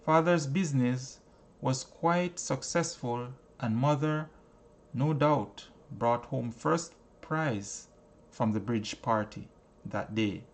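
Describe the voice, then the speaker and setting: A man narrating a self-help audiobook in a measured, earnest manner, with deliberate phrasing and frequent pauses. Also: mid-range voice.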